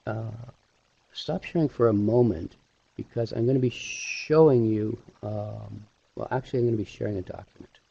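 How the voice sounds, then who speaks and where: Muffled, gravelly man presenting online. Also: on the low side, normal speed, warm tone, slight background noise. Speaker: animation instructor hosting a webinar. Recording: dull, lo-fi webinar audio.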